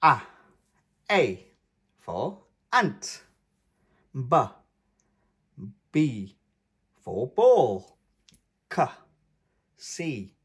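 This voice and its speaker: A man reads aloud for very young children with a lively, widely swinging pitch. His delivery is emphatic and sing-song, mostly unhurried, with a husky edge to the voice. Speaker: children's read-aloud channel host. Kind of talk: read-aloud for toddlers.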